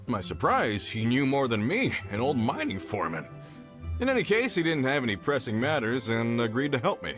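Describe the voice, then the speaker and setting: A man's voice: mid-range voice, huge pitch swings, fairly quick, over music. A man voicing an older mining foreman in a video game, hearty and chatty, friendly and a bit boastful.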